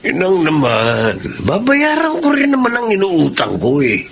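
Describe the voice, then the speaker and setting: A wheedling middle-aged Filipino father in a radio drama. His high voice swings widely in pitch as he pleads, with faint noise behind him. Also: wildly swooping pitch, measured pace, gravelly, a bit bright, heavily acted. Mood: pleading. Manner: pleading, excuse-making.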